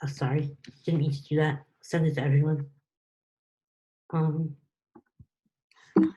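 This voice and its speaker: A young woman with a low voice talks casually over a video call. She answers questions loosely and unhurriedly, filling gaps with ums and restarts. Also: unhurried, rough recording. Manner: relaxed, hesitant with many ums. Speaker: student presenter. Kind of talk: casual online Q&A.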